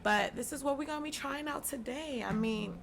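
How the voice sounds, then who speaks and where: A woman's voice: high-pitched, a little fast, creaky. A woman from Brooklyn, a podcast guest chatting casually and laid-back about her drinks business, with slangy asides. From Brooklyn, New York.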